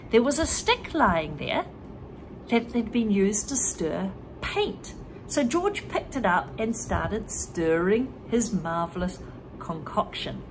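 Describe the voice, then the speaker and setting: A teacher in her forties reading a children's book aloud into a close webcam, pausing to explain words. Her voice is expressive and moves widely in pitch. A faint room hum sits underneath. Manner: animated, explaining words to young learners. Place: living room with lamp and armchair.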